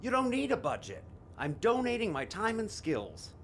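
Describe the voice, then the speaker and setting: An actor playing a grumpy, boastful stage magician in an audio drama, sarcastic and theatrical in manner. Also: male voice, wildly swooping pitch, moderate pace, gravelly, a bit bright, exaggerated voice. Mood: boastful, eager. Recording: faint noise with low rumble.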